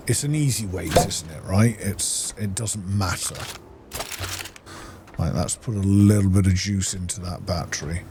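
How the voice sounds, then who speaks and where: A man giving casual, low-key gameplay commentary, musing and thinking aloud to himself. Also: background noise. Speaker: gaming video host.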